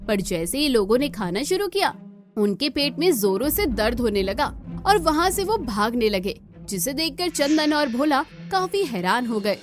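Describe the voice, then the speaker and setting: A woman's voice: high voice, very animated, moderate pace, soft background music. A woman narrating a scripted cartoon story as the storyteller.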